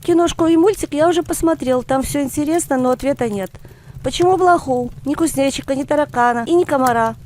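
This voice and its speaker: Woman voicing a pert, high-pitched schoolboy. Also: a little fast, darkish tone, a little hiss or hum, lightly acted. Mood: eager chatter. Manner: slangy, argumentative. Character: pert schoolboy grandson, impatient and curious. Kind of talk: audiobook character voice.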